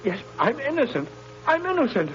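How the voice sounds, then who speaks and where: Frantic, very shrill condemned prisoner. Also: male voice, sing-song, moderate pace, a bit bright, heavily acted. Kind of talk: 1930s radio acting. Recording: muffled, faintly noisy old radio recording.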